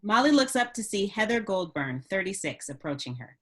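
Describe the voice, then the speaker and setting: A woman's voice: medium pitch, very animated, brisk, a bit bright, breathy edge. A woman narrating stage directions at a script table read.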